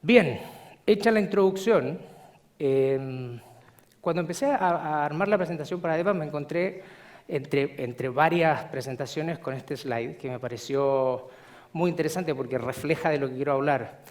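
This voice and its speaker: A man's voice: a little high, darkish tone. Chilean man giving a conference talk. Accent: Chilean Spanish. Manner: measured, explanatory, frequent filler sounds. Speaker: conference speaker. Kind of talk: conference presentation. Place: conference hall.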